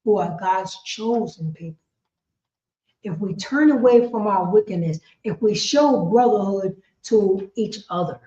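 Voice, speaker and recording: A woman's voice: medium pitch, measured pace, warm tone, a little hiss or hum. Female religious teacher, impassioned and insistent, recorded in a roomy echo with muffled fidelity. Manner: emphatic, repetitive, rhetorical questioning. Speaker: class teacher, preacher. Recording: echoey room, dull lo-fi sound.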